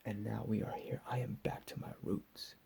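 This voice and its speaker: A man playing a deranged, menacing captor in a whispered ASMR roleplay, profane and slow with drawn-out emphasis. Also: lowish voice, flat delivery, creaky, mellow. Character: deranged menacing male captor, recently escaped asylum.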